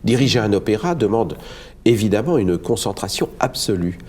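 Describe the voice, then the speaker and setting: A very deep, husky male voice that moves through a wide, expressive melody. He talks as a guest musician from Le Havre, earnest and reflective. He often pauses and restarts his phrases as he searches for the right word. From France, Le Havre. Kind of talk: studio cultural discussion.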